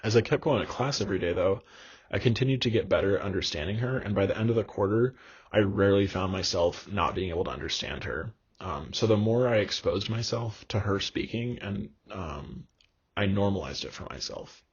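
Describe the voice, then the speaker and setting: A young man delivers a recorded lecture in a slightly low, gravelly and husky voice. He explains things in a measured way, filling pauses with ums and uhs. The recording is dull and lo-fi.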